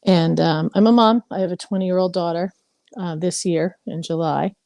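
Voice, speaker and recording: Fifty-something woman from hawaii sharing her story, lots of ums, mellow tone. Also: lowish voice, steady tone, normal speed, vocal fry, dry recording, studio quality. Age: 50s. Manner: candid, rambling, many fillers. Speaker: participant. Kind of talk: casual personal sharing.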